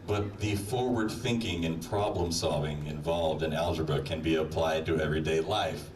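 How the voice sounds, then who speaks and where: A man's voice: extremely low pitch, steady tone, moderate pace, darkish tone. A man delivering a humorous, admonishing monologue with mock-stern manner, addressing the listener directly. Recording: roomy, distant playback with crowd noise.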